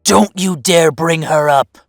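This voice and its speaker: A woman's voice: low voice, a little slow, no room sound, clean studio sound, soft background music. A woman playing a bitter, defiant werewolf big brother estranged from the family, curt and confrontational, in an audio drama. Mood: angry, defiant. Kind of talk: scripted audio roleplay drama.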